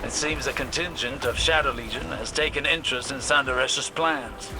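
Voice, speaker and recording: An actor playing a formal, stoic commander in a video game, giving measured and terse briefings. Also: male voice, medium pitch, over loud music, close to an everyday voice. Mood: grave. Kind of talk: scripted video game acting.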